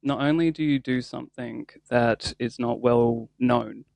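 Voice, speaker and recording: A man with a New Zealand accent hosting a podcast, playful and quick to laugh. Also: medium pitch, vocal fry, darkish tone, dry recording. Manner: playful, giggly, easily cracks up. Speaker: podcast host. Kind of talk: casual podcast interview.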